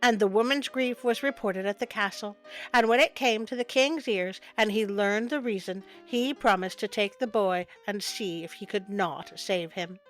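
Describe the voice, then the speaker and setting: A woman's voice: mid-range voice, normal speed. A woman hosting a story show, reading a folk tale aloud with playful, wry asides. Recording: music bed under the voice. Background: music.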